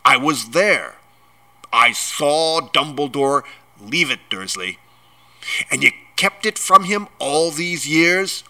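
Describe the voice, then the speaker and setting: Blustering giant gamekeeper, growling and booming, bright forceful tone, big pitch swings, storybook reading. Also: male voice, big pitch swings, measured pace, exaggerated voice. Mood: trembling rage. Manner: booming, growling, rustic speech. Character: huge gruff giant gamekeeper, blustering and indignant.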